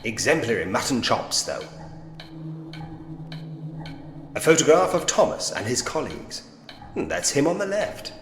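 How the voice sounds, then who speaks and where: A droll, old-fashioned gentleman investigator in a Victorian mystery game. He muses aloud theatrically, with an animated, swooping melody at a medium pitch. The voice rings in a long, roomy reverb. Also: normal speed, a little hiss or hum. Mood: wry, observant. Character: gentlemanly male detective in a Victorian ghost mystery. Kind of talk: point-and-click game character voice acting, musing aloud.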